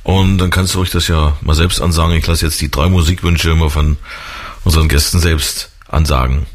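Male radio host, very deep voice, chatty, lots of fillers. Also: steady tone, fairly quick. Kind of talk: radio interview.

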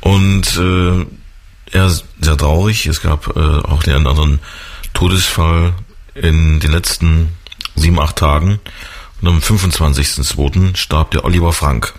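A man with a very deep voice hosts a radio interview. He talks in a loose, chatty way and fills his pauses with "äh" and "ähm". He asks his guest questions as he goes along. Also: steady tone. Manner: chatty, with many fillers. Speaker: radio host.

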